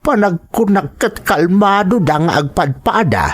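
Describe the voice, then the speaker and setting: A man acting an elderly, concerned Filipino grandfather in an audio drama, speaking in a deliberate, soothing manner. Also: high-pitched, animated.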